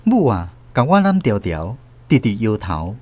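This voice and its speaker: 一位台灣口音的男演員，在文學廣播劇中以第一人稱扮演回憶童年的敘事者，富有表情地說故事。. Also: male voice, lowish voice, huge pitch swings, unhurried, darkish tone, no room sound. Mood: wistful, dramatic. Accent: Taiwanese. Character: grown narrator recalling a childhood train ride with mother. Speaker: narrator. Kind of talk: literary radio drama narration in first person.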